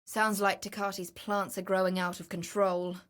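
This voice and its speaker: A woman playing a wry, adventurous treasure-seeking explorer in a video game, speaking in a measured, theatrical, knowing way. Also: mid-range voice, level tone, natural voice. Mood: dry, musing. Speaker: game companion character. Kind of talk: scripted video game voice lines.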